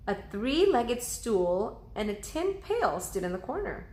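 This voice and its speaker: A woman's voice: on the high side, sing-song, a little slow, darkish tone. A woman reading a children's storybook aloud in the manner of a teacher. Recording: roomy, echoing indoor sound.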